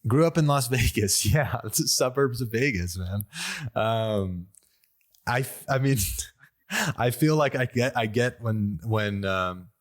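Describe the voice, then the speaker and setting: A man's voice: mid-range voice, measured pace. A young man from the United States whose first language was Armenian, hosting a casual conversation with frequent hesitations and restarts. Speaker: podcast host.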